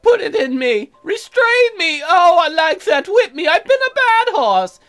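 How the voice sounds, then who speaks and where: Lewd talking horse, woman performer: high, drawn-out, needy and brazen, comic game delivery. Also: big pitch swings, fairly bright tone, full character voice. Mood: ecstatic, lewd. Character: lewd, needy talking horse who loves being ridden. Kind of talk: comic visual novel voice acting.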